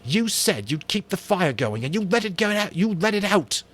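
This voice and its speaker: A man's voice: sits high, big pitch swings, normal speed, pitched above the performer's own voice, heavily acted. A man doing an angry boy chief. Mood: accusing. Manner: loud, accusing.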